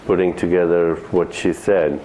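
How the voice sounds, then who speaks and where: A man with a low, mellow voice talks informally as a spiritual teacher. He is deliberate and halting, pausing between short phrases. Faint room noise sits behind him. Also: fairly even intonation, poor audio. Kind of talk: informal talk, summarizing points to an audience.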